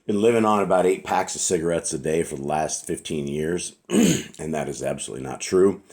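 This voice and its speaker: Man, hoarse raspy voice, very deep, earnest informal scripture teaching, clears throat. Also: animated, a little fast, a little mellow. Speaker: Bible teacher.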